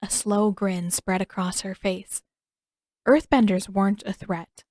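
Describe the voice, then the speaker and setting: Measured woman narrator, close dry sound. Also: mid-range voice, steady tone, darkish tone, dry recording. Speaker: audiobook narrator. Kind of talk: scripted story narration.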